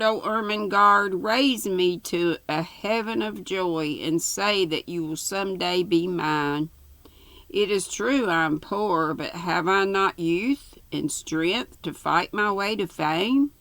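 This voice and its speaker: A woman's voice: on the low side, gravelly, a bit bright, no room sound, exaggerated voice. A woman voicing a poor, lovestruck young man in a storybook melodrama, pleading in grandiose, overwrought style. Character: lovestruck, florid, poor young male suitor.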